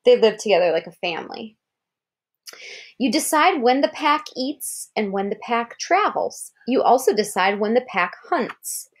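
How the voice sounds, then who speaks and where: An American woman with a fairly high voice reads a children's article aloud. She tells it expressively, like a parent to a child. She breaks from the text to explain things simply and ask questions. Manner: expressive storytelling, pausing to check understanding with questions.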